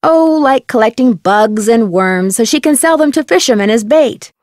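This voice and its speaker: A woman playing a composed young female amateur detective in a video game, explaining things in a measured way. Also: on the high side, lively intonation, darkish tone, no echo, studio quality, close to an everyday voice. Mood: matter-of-fact. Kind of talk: scripted video game voice acting.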